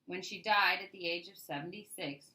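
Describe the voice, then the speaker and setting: A woman's voice: fairly low pitch, moderate pace, pushed and bright, low-quality recording. A woman reading a children's story aloud as narrator, leaning into the start of phrases and trailing off at their ends.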